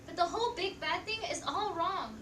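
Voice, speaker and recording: A woman's voice: very high voice, lively intonation, normal speed, bright, forceful tone. A teenage girl narrating theatrically as a student stage performer. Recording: echoey room, distant mic, audience noise.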